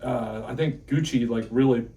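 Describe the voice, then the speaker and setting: A man's voice: medium pitch, normal speed, warm tone. A man chipping in during a casual conversation. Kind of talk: casual chat. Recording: slightly distant mic.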